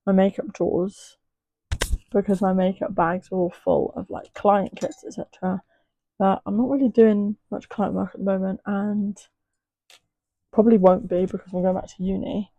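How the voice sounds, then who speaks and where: A young woman chatting casually through a makeup tutorial, her voice a little hoarse as if it is going. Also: no room sound, phone-quality sound. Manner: chatty, rambling, soft and low at times. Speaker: makeup artist.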